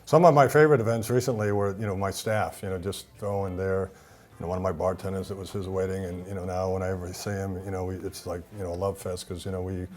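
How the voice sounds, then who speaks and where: Fast-talking Boston man, rambling. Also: low-pitched, fairly even intonation, darkish tone. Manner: rambling, many fillers and restarts. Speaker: event center owner, guest. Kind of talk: casual TV talk show interview.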